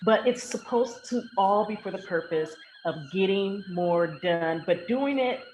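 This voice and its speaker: A woman's voice: medium pitch, animated, unhurried, darkish tone, highs cut off. An American woman hosting a casual, unscripted podcast in a playful, emphatic, colloquial way. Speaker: podcast host. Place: hotel with people passing in the background. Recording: clearly noisy podcast audio with ringing.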